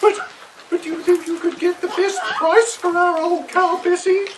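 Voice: extremely high pitch, steady tone, moderate pace, a loud crowd. A performer voicing a fretful, melodramatic mother in a children's puppet show, full of exclamations. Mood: wailing, fretful. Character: dramatic, fretful mother of a little boy. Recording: outdoor far camera, noisy background.

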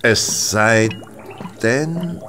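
A man playing a brilliant, eccentric, self-assured detective in a German radio play, with a lecturing and excitable manner. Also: medium pitch, very slow. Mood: agitated. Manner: theatrical, lecturing, self-satisfied.

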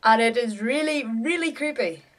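A woman's voice: on the high side, animated, normal speed, background noise. A young adult woman vlogging casually in her bedroom, with an irreverent, offhand, sweary way of talking. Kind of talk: casual chatty vlog to camera. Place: small bedroom, indoors.

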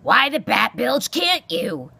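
Lady doing a cranky old boy porcupine from a storybook, scornful and barky, sharp edge, pitch all over the place. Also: big pitch swings, slow pace, vocal fry, husky, full character voice. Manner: barking, growling, insulting bluster. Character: grumpy old male porcupine, scornful and loud.

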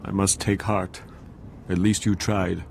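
Sad lovesick alien man, theatrical game acting, gravelly voice w noisy ambience. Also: deep voice, little pitch movement, unhurried. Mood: heartbroken. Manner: plaintive, theatrical. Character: lovesick, mournful male alien client. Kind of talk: dramatic video game dialogue.

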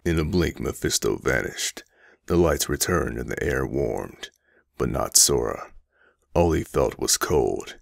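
A man's voice: rumbling low, expressive, a little slow, gravelly, dry recording, studio quality. A man reading scripted narration for a dramatic story.

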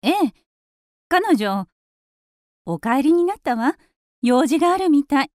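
A woman's voice: high voice, very animated, measured pace, darkish tone, no echo, clean studio sound. A woman playing an impulsive, vain upper-class young wife in a radio drama, coy and theatrical as she coaxes. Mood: coy, wistful.

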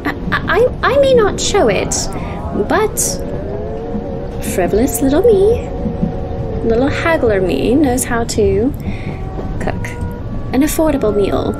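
A woman voicing a young astrologer in a romantic ASMR roleplay, affectionate and thrifty, talking to the listener with coy, stammering gentleness. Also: high-pitched, very animated, slow pace, long pauses, background noise, full character voice. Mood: eager, bashful. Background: music behind the voice.

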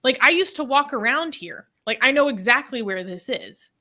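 A woman's voice: high-pitched, animated, moderate pace, pushed and bright. A young woman talking through a news story casually, hesitant with many ums and likes, on a video call from home. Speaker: co-host.

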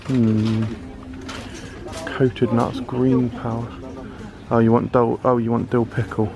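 A man's voice: medium pitch, flat delivery, takes it slowly, creaky. A British man vlogging his shopping trip, chatting curiously about products on the shelves. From United Kingdom. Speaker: food vlogger. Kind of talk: casual running commentary while shopping. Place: large supermarket aisles, indoors.

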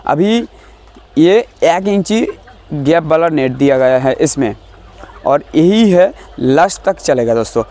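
A young man from India explaining farming to camera in an informal, halting way, often repeating phrases. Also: on the high side, a little mellow. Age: young adult. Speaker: poultry farming vlogger. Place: large bamboo poultry shed full of chickens. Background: chickens clucking.

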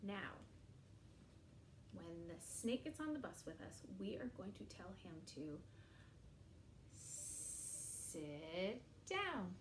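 Slow, sing-song woman leading a children's rhyme. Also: mid-range voice, sing-song, echoey room, a little hiss or hum. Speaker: early years educator. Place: small indoor room against a bare wall.

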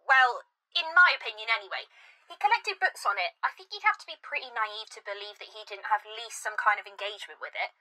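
An actress playing an eager folklore enthusiast talking on a call. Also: female voice, fairly high pitch, expressive, fast talker, pushed and bright, low-quality recording.

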